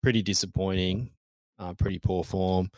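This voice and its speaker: A man's voice: sits low, flat delivery, moderate pace, a little mellow, dry recording. A man, co-hosting a casual podcast chat, blunt and sweary. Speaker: podcast co-host.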